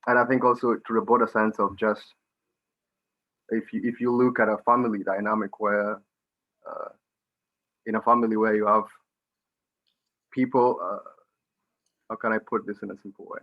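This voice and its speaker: A Kenyan-accented man speaks on a panel in a low, level voice that barely rises or falls. He feels his way through his answer with restarts and pauses. The sound is dull and lo-fi, like call audio. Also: level tone. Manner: halting, searching for words, many restarts. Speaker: panelist, medical student.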